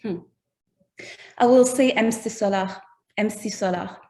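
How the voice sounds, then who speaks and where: A woman's voice: mid-range voice, measured pace, a little mellow. A woman with a French accent, a native French speaker, talking as a musician guest in an interview and carefully searching for her words in English. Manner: thoughtful, searching for words in a second language. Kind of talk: casual interview chat.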